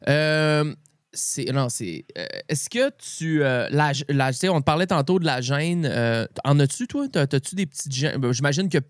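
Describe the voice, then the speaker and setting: A Québécois man hosts a relaxed interview in a voice pitched a bit high for a man. He talks in loose slang, often restarting his phrases. The sound is close and very dry. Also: dry recording. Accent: Québécois French. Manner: informal, slangy, halting with repeated false starts. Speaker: podcast host. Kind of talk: casual interview chat.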